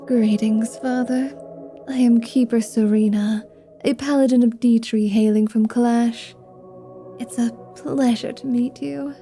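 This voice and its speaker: A woman's voice: fairly high pitch, steady tone, a little slow, mellow, faint music behind. A woman playing a sly, flirty, irreverent vampire who poses as a pious paladin, teasing and sarcastic, in an ASMR roleplay drama. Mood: feigned piety. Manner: teasing, sarcastic, feigned piety.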